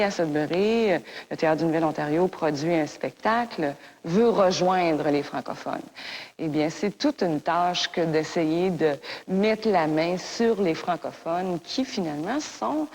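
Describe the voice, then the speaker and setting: A woman's voice: fairly low pitch, darkish tone, slight background noise, rough recording. A woman speaking in an interview clip, hesitant and full of fillers.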